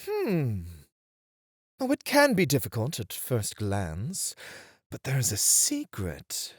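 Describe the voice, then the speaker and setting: Woman doing refined playful etiquette teacher lady for asmr, really deep female voice, super swoopy intonation, flattering and measured. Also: slightly rough, dry recording, studio quality, full character voice. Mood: poised instruction. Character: elegant, playful etiquette teacher charming a student. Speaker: roleplay voice performer.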